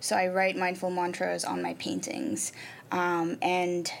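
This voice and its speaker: A young woman from Nepal, a native Nepali speaker, answering interview questions about her art in a thoughtful way, with many ums and pauses. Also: fairly low pitch, big pitch swings, creaky. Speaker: artist and arts program manager. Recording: faint background noise.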